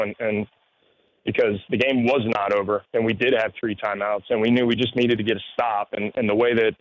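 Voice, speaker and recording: A man who coaches high school football, explaining things in spontaneous interview answers and often saying "you know". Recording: dull lo-fi radio audio.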